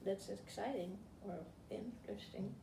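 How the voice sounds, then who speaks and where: An elderly woman with a creaky voice, speaking in a halting, informal way as she presents to a group. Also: medium pitch, dark tone. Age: 70s or older. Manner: halting, rambling, self-interrupting. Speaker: retired teacher and read-aloud presenter. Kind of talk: informal talk and reading aloud to a group. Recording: distant mic, echoey room, faint noise.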